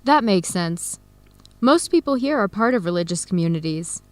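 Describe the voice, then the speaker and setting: A woman's voice: medium pitch, lively intonation, moderate pace, no room sound, close to an everyday voice. An actress playing a friendly, curious and candid young woman in an educational radio drama. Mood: thoughtful.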